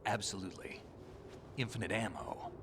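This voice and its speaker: A man plays a battle-worn soldier with a very deep, gravelly voice that stays close to a whisper, terse and unimpressed by anything. The game audio carries a clear hum of ambient noise beneath him. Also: moderate pace, close to an everyday voice. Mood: steady. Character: gruff veteran male soldier, terse and stoic. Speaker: game character. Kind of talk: video game cutscene voice acting.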